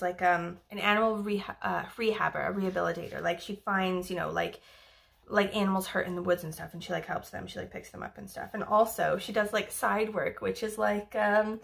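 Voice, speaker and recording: A woman's voice: mid-range voice, steady tone, normal speed, creaky. A young woman from Wales chatting casually about books, rambling with lots of fillers and the odd swear word. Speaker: book vlogger. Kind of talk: casual book review chat.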